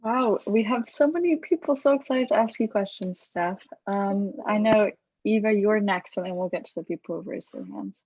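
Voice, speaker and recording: A woman with a mid-pitched voice moderates an informal Q&A, feeling for her words a little. The sound is dry and somewhat dull and lo-fi. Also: normal speed, darkish tone, no echo. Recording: dull lo-fi audio.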